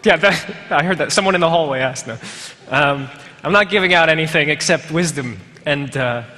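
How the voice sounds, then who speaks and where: A man's voice: a little high, normal speed, pushed and bright. A man in his twenties giving a conference talk in a rambling, wry, self-deprecating way full of fillers and asides. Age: 20s. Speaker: conference speaker. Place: large lecture hall. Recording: reverberant lecture hall.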